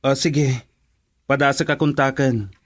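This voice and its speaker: A man's voice: medium pitch, fairly even intonation, a little slow, no echo, clean studio sound, lightly acted. A young man with an Ilocano accent playing an easygoing, caring friend in a radio drama, polite and thinking aloud.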